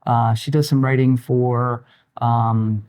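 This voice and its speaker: A man of fifty-nine chatting casually as a podcast guest, telling personal stories in an informal, halting way. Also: mid-range voice, level tone, darkish tone. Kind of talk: casual interview chat, personal anecdotes.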